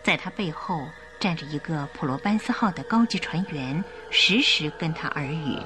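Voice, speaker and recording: Expressive, lower-voiced woman storyteller. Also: moderate pace, over music. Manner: expressive, carefully enunciated. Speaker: narrator. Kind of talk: scripted dramatic storytelling narration.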